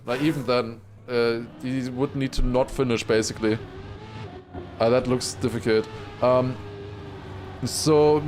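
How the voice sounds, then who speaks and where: A man livestreaming excited esports commentary mixed with casual chat, raising his voice to a shout at big moments. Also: medium pitch, a little hiss or hum. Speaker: esports watchparty streamer and commentator.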